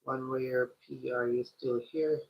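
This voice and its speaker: A man hosting a live stream, talking slowly and directly to his chat, his voice congested and stuffy from a cold. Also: medium pitch, steady tone, vocal fry, a little hiss or hum. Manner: slow, repetitive, addresses the chat directly. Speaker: livestream host. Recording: dull lo-fi stream audio.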